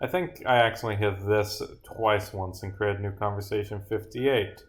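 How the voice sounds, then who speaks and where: A man's voice: lowish voice, fairly even intonation, moderate pace, soft background music. A man thinking aloud on a live game development stream, rambling and muttering as he reads script and corrects himself.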